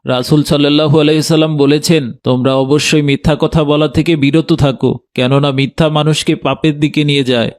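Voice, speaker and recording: A man's voice: on the high side, fairly even intonation, brisk, few pauses, close to an everyday voice. A man voicing a stern madrasa teacher in a children's cartoon, giving solemn religious admonitions. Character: stern male madrasa teacher warning of sin.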